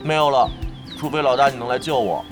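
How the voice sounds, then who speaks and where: An actor playing a reckless, loyal, none-too-bright young male brawler with a bombastic, mock-heroic manner in a radio drama. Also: medium pitch, fairly even intonation, quick pace, natural voice. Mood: mock-tragic. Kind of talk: radio drama comic acting. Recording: music bed behind voice.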